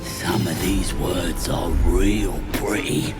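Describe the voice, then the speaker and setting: A man playing a dim, chatty goblin looter in a video game, with a croaky voice and an English working-class accent. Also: low-pitched, steady tone, takes it slowly, loud music behind the voice. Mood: bashful, blustering. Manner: chatty, uneducated. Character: dim, cheeky goblin looter with a secret soft side. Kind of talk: video game character acting.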